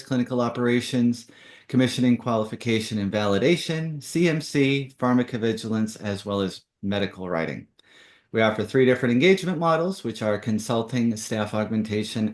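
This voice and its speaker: Low-voiced man hosting, roomy sound. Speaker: podcast host. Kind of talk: scripted intro and interview talk. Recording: roomy, echoing voice.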